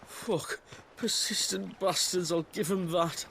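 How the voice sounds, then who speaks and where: An actor playing a cocky, glib rogue posing as a merchant in a game cutscene, speaking in a sardonic, smooth-talking manner. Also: male voice, sits high, steady tone, slow, sharp tone, slight background noise, heavily acted. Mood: weary, annoyed. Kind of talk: acted game cutscene dialogue.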